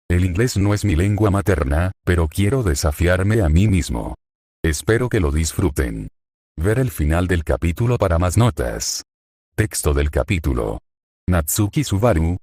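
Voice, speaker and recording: A man narrating a fan story in a steady, even read-aloud manner. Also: deep voice, no room sound, studio quality. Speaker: fanfic narrator.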